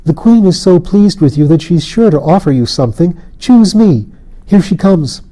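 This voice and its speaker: A plaintive little changeling girl from a storybook, pleading and wistful. Her voice sits well above a man's usual pitch and stays airy and light, done by a male narrator. Mellow, soft tone. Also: expressive, normal speed, poor audio. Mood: urgent. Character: fretful little changeling girl held by fairies.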